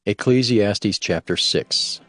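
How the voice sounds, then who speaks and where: A man's voice: fairly low pitch, slow, bright, forceful tone, no room sound, faint music behind. A man making formal, scripted chapter announcements for an audio scripture production.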